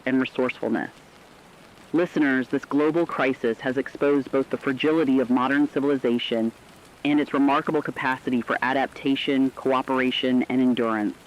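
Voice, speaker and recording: A man's voice: medium pitch, slight background noise, lightly acted. A man playing a muffled, earnest war correspondent. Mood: earnest, hopeful. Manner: earnest, formal, measured. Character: earnest male radio correspondent reporting wartime devastation. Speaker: radio news correspondent. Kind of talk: scripted dramatic radio news report. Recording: dull lo-fi radio sound.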